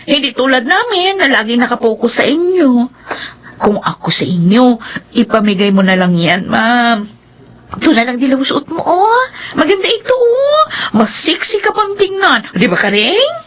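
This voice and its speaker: Sly, pushy young Filipino maid: high, lively, flattering, radio drama delivery. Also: female voice, normal speed, heavily acted.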